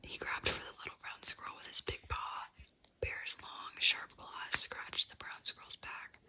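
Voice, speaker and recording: Whispering woman telling a bedtime story. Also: a little slow. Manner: soft unvoiced whisper throughout.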